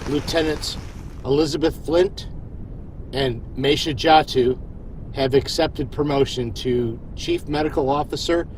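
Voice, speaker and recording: A wry, genial starship captain of middling pitch, acted by a man. He is friendly with the crew and in command of the ship, in the manner of a science fiction audio drama. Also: a little mellow, a little hiss or hum, rough recording. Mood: formal, commanding. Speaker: lead actor in audio drama.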